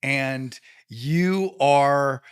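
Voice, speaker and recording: A man's voice: medium pitch, drawn-out words, clear, resonant tone, dry recording, studio quality. A man hosting a podcast, chatting casually and prompting his guest with eager questions. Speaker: podcast host. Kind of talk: casual interview chat.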